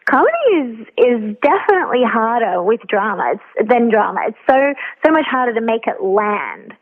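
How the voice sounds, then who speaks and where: A woman's voice: a little high, sing-song, moderate pace. A woman speaking as an interview guest over a telephone, chatting casually and thoughtfully with frequent hesitations. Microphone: phone call. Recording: thin telephone line.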